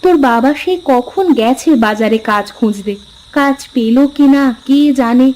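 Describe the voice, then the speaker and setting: Woman as a high-voiced, reassuring cartoon village mother. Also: moderate pace, a little mellow, natural voice. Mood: concerned. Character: patient, faithful village mother and wife. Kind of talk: cartoon fairy tale voice acting.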